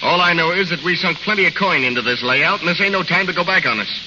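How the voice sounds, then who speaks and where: An actor playing a pushy, suspicious American gangster in an old radio drama, talking in tough slang. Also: male voice, on the high side, expressive, close to an everyday voice. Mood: indignant. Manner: rapid slangy tough-guy talk. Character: pushy, suspicious male gangster, hot-tempered. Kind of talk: 1930s-40s radio drama acting. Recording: noisy, muffled vintage radio recording, long reverb.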